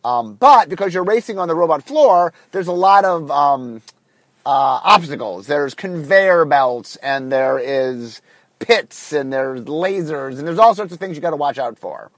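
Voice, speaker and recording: A man with a husky voice from a cold, casually telling a long story on his podcast, full of ums and restarts. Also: a little high, animated, measured pace, dry recording. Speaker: podcast host. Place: car, recording on the drive to work.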